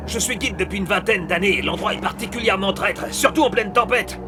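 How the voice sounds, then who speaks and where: A man's voice: high-pitched, a little fast, over music, close to an everyday voice. A man playing a seasoned, wary mountain guide, blunt and cautioning, in an audio drama. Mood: alarmed, exasperated. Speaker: voice actor. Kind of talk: French audio fiction acting.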